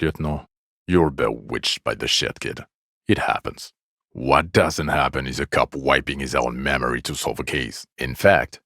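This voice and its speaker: A man's voice: extremely low pitch, bright, forceful tone, dry recording, heavily acted. A man voicing a bitter, exasperated police detective in a video game, with dry, cutting sarcasm and weary contempt. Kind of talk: dramatic game dialogue.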